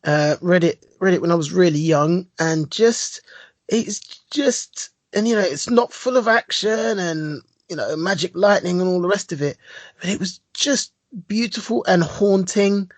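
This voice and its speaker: A man co-hosting a podcast, talking in a relaxed, reflective way. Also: high voice, a little slow, dry recording. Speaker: podcast co-host. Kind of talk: casual chat.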